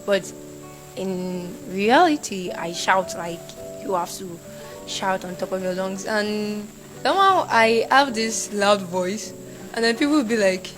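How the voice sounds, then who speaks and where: A young Nigerian woman, a university student, talking casually in an interview and acting out shouted quotes. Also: mid-range voice, a little slow, over music, poor audio. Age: young adult. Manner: animated, acts out shouted quotes.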